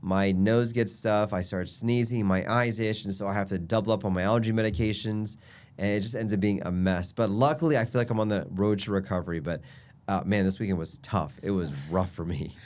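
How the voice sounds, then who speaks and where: A man's voice: fairly low pitch, fairly quick, a little mellow. A man with a stuffy, nasal voice from allergies, a doctor explaining health tips in an upbeat casual chat. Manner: upbeat, explanatory, lists points. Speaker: physician guest.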